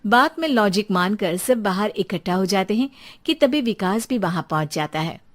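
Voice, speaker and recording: A woman's voice: mid-range voice, expressive, moderate pace, very noisy. A woman reading scripted narration for a cartoon horror story.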